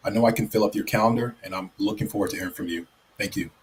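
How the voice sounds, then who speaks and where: A man reading a prepared job application pitch in a flat, low-energy way. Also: racing, breathy edge, poor audio.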